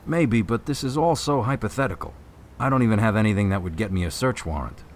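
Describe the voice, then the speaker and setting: Actor as a low-voiced, methodical policeman. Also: fast talker, a little hiss or hum, lightly acted. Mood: doubtful. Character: plain-spoken policeman, uneasy but methodical, acting on a hunch. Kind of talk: audio drama dialogue.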